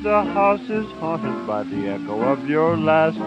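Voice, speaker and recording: A man's voice: fairly high pitch, sing-song, a little slow. A man singing a vintage popular song as a crooner. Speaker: singer. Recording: dull vintage recording with loud music.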